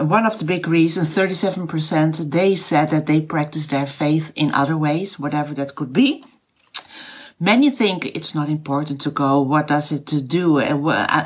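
A woman's voice: fairly low pitch, breathy edge. A middle-aged Dutch woman, speaking English with a Dutch accent, giving a casual online Bible teaching. She often searches for words, with fillers and restarts. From Netherlands. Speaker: house church teacher.

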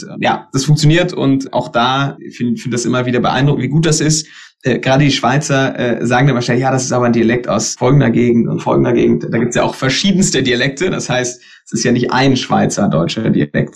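A man's voice: mid-range voice, gravelly, darkish tone. A man as interview guest, explaining a voice product at length with frequent fillers. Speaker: guest, tech company expert.

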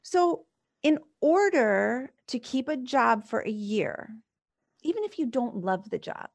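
Brief: woman life coach, higher pitch, probing and reflective, chatty with "like" fillers. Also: lively intonation, slow pace, vocal fry. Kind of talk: live coaching session.

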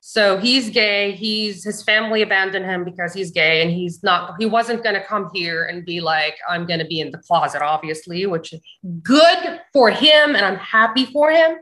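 A woman from Saudi Arabia talking as a guest on a video call, hesitant and halting, also reading out viewer comments. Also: medium pitch, pushed and bright, rough recording.

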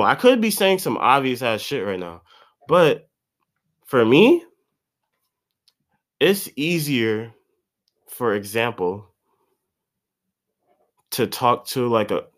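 Congested guy around 20 just riffing solo on his podcast, cussing a lot, very up-and-down delivery. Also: medium pitch. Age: about 20. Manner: rambling, slangy, profane, restarts often. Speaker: podcast host. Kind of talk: casual solo podcast monologue.

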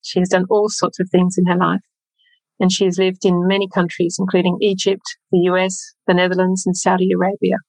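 A woman's voice: lowish voice, completely flat delivery, a little fast, darkish tone, no echo, rough recording. A woman hosting an interview podcast, reading an upbeat scripted introduction before questioning her guest. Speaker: podcast host.

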